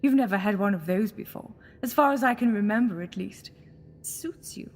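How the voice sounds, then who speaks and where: A woman's voice: medium pitch, fairly quick, lightly acted. A woman playing a sinister alien posing as a goddess, coaxing and theatrical, seductive and mocking, in an audio drama. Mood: flirtatious, mocking. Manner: coaxing, theatrical, purring endearments.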